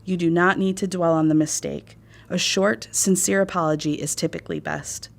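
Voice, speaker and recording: Lower-pitched American woman narrating training. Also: steady tone, gravelly. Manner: measured, formal, instructive. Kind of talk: scripted instructional narration.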